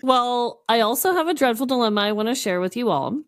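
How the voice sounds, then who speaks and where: A woman co-hosting a podcast, telling casual comic stories in a chatty, animated and expressive way. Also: fairly high pitch, brisk. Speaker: podcast co-host. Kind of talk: casual comedic storytelling.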